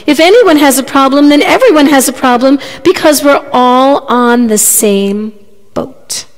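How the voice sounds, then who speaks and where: A woman's voice: on the high side, lively intonation, moderate pace, natural voice. A woman storyteller voicing a proud prince, speaking in an earnest, insistent, princely way. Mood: desperate. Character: proud commanding prince who crumbles into frightened pleading. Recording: long hall reverb.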